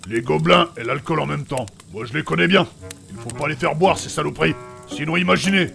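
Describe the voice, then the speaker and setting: Man playing a gravelly, macho fantasy barbarian. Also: fairly high pitch, a little fast, bright, forceful tone, exaggerated voice. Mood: teasing, blunt. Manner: blunt, sardonic, slangy. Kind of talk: fantasy comedy audio drama. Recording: music behind the voice.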